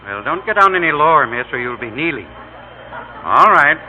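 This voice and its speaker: Lively-pitched, dry ticket clerk. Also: male voice, normal speed, heavily acted. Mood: wry, businesslike. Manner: matter-of-fact, mildly wry. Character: dry, businesslike male railway ticket clerk. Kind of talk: old-time American radio drama acting. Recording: muffled old radio broadcast.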